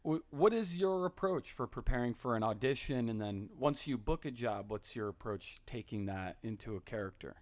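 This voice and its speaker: A woman host with a strikingly deep voice asks relaxed interview questions. She keeps things moving with brief, encouraging replies. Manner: encouraging, quick with short reactions.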